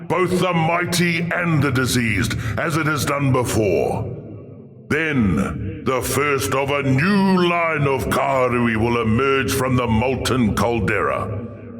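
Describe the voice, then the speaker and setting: Slow, proud warrior spirit. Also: male voice, fairly high pitch, a bit bright. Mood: scornful, proud. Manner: measured, dramatic, proud. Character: proud fierce dead Karui warrior, hungry for conquest. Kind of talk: fantasy game character monologue.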